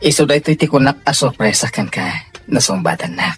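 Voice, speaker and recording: A man's voice: mid-range voice, lively intonation, fairly quick, a bit bright, soft background music, close to an everyday voice. A Filipino man playing a devoted young sweetheart in a radio drama, speaking earnestly and tenderly. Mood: earnest, reassuring. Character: devoted young male sweetheart surprising his beloved with a house. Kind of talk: Ilocano radio drama acting.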